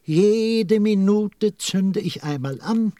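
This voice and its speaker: Slow, weary, grumbling lamplighter. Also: male voice, high-pitched, dark tone, no room sound. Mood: weary complaint. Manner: resigned, grumbling, literal-minded. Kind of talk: German audio drama acting.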